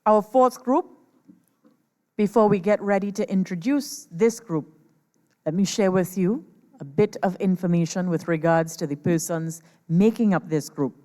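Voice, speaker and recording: A woman's voice: lowish voice, unhurried, warm tone. Woman announcing in a reverberant hall. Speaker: host, master of ceremonies at a competition. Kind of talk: formal stage announcing. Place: large performance venue, over a PA system. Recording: echoey hall PA, long reverb.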